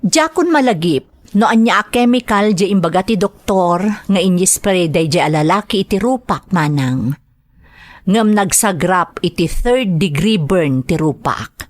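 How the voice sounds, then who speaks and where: A woman's voice: on the low side, expressive, a little slow, dry recording. A woman acting an earnest, persuasive mother of a grown son in an Ilocano radio drama. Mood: sorrowful recounting.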